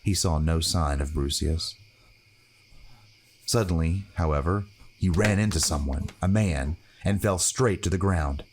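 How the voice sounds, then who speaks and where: A man's voice: sits low, measured pace, slight background noise. A man narrating a fantasy adventure story from a script, as storyteller.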